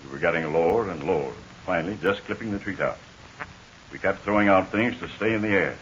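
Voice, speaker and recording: A worn-out stranded pilot. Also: male voice, low voice, a bit bright. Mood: weary. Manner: weak, halting, worn out. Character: weak stranded adult male pilot, major. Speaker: Major Steele. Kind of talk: 1940s radio acting. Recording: dull hissy 1940 radio transcription.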